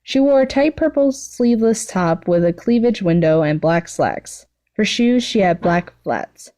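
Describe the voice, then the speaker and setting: A woman's voice: mid-range voice, lively intonation, measured pace, dark tone. A woman narrating fan fiction in a casual, amateur reading style, stumbling now and then and correcting herself.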